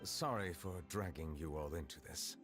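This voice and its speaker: An actor playing a reserved, formal male chief justice in a fantasy game, speaking in a measured, courteous way. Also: extremely low pitch. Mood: composed, courteous. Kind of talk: scripted video game voice acting. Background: loud game music.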